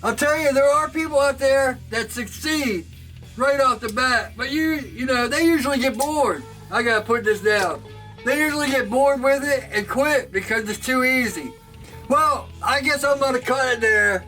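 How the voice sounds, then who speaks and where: Loud, high-voiced man projecting in a workshop. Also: normal speed, distant mic, faint music behind, poor audio. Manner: loud, projecting, excitable, laughs often. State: sore throat. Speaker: knifemaker and channel host. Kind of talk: casual talk to camera. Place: home workshop.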